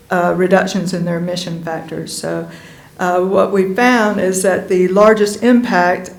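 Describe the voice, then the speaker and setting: A woman's voice: fairly low pitch, echoey room. A woman on the utility staff presenting slides to the board, speaking casually with many fillers. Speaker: utility staff filling in for a manager.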